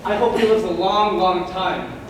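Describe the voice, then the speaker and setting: A man's voice: high-pitched, a little slow. A male student actor playing a mild, fretful middle-aged man in a live stage comedy. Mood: earnest, worried. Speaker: student actor in a school one-act play. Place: large hall, recorded far from the stage. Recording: distant, echoey stage recording with loud noise.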